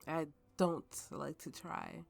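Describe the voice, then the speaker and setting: A woman playing a tipsy, haunted woman with magical powers, telling her story slowly and haltingly in an intimate ASMR roleplay over music. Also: low voice, expressive, gravelly, heavily acted. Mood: haunted, wistful. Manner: halting, drunken rambling with frequent laughs. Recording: voice over soft background music.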